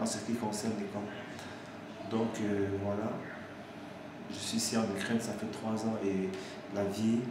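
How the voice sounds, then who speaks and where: A young man from Cameroon, an engineering student, giving a halting unscripted testimonial to camera with many restarts. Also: medium pitch. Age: young adult. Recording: echoey room with steady background noise.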